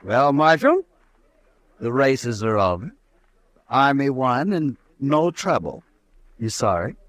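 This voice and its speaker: Cast a swaggering young cavalry officer for a vintage radio western, lively pitch, dry sound. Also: male voice, slow, no room sound. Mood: taunting. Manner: swaggering, mocking, laughs at others. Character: brash young army lieutenant, cocky and taunting. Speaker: cavalry lieutenant. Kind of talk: 1950s radio drama acting.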